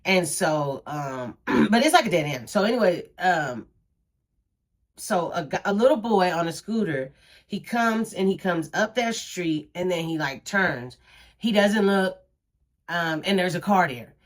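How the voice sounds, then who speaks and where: A woman in her thirties talks straight to her audience in a casual monologue. Her voice sits low, and she leans hard on her points, sometimes sounding preachy. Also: unhurried. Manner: animated and emphatic, preachy. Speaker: commentary vlogger.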